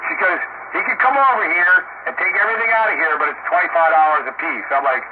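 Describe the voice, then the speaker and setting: A man's voice: on the high side, fast. Older American man, radio hobbyist, casual storyteller quoting others; tinny, very noisy radio link. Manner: animated storyteller, acting out reported dialogue. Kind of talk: casual on-air radio chat. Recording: noisy, narrow-band shortwave radio transmission. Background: loud radio static.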